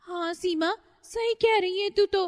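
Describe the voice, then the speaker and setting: A woman's voice: a little slow, long reverb tail. A woman voicing a fretful older mother-in-law who panics easily, in a cartoon story. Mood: worried. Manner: shrill, panicky.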